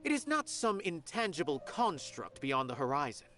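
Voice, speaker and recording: A man's voice: a little high, sing-song, moderate pace, bright, forceful tone. An actor playing an aloof male theater observer who talks down to people and muses on art, over game music. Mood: lofty. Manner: lofty and condescending.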